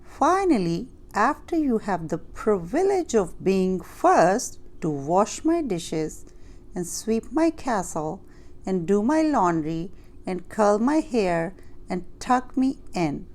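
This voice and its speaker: A woman's voice: mid-range voice, sing-song, takes it slowly, darkish tone, pitched above the performer's own voice. A woman voicing a bossy, vain witch in a children's storybook, with an imperious, commanding manner. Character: bossy, vain female sandwich witch, demanding and cackling.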